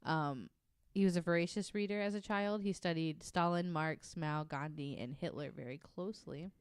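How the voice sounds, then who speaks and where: A woman's voice: deep voice, moderate pace, clean tone, no echo, clean studio sound. A woman hosting a podcast, telling nonfiction stories casually from her notes with informal, wry asides. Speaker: podcast host.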